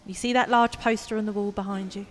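A woman's voice: medium pitch, steady tone, fast talker, close to an everyday voice. A woman playing an obstructive city hall receptionist in a comedy radio play, condescending and falsely polite. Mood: officious. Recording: reverberant hall.

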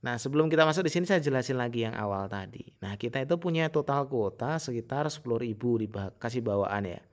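A man giving a casual, informal software tutorial in an explanatory way. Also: medium pitch, lively intonation, fast talker. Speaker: tutorial host.

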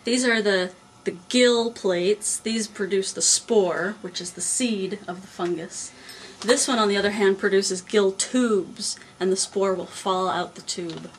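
A young woman farmer answering interview questions in a small cluttered office, calm and plain, explaining step by step. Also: mid-range voice, unhurried, slight background noise, poor audio. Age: young adult. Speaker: mushroom and poultry farmer.

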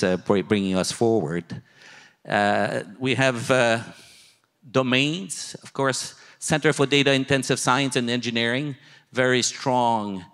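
A man in his sixties giving a keynote as a university dean, halting and pausing often mid-sentence, on a handheld microphone in an auditorium. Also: medium pitch, fairly bright tone. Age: older, 60s. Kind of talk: conference presentation, speaking from slides. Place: large auditorium stage. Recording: long hall reverb from PA.

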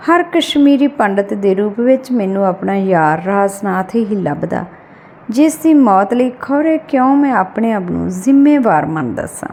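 A woman's voice: mid-range voice, expressive, normal speed, darkish tone, a little hiss or hum. A woman reading a literary short story aloud as scripted audiobook narration.

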